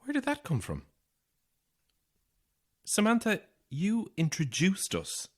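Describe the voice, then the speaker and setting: A woman's voice: mid-range voice, wildly swooping pitch, takes it slowly, full character voice. An actress in a horror audio drama playing a frightened, unravelling woman, anxious and moving between whispers and cries. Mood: uneasy. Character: frightened, unravelling woman trapped on an empty housing estate.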